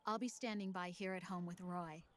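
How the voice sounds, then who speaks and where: An actress playing a calm, professional military counselor in a video game, speaking formally and reassuringly. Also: female voice, fairly low pitch, a little fast, a little hiss or hum, natural voice. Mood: composed, professional.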